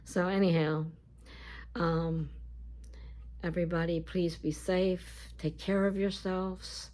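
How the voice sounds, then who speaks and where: A woman with a scratchy voice talking casually to her viewers in a vlog, halting and full of fillers. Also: fairly low pitch, little pitch movement, a little mellow. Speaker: YouTuber. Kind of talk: casual talk to camera.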